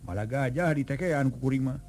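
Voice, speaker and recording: Guy voicing a calm mighty strongman, bit louder, slow and firm. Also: mid-range voice, faint music behind, pitched above the performer's own voice, lightly acted.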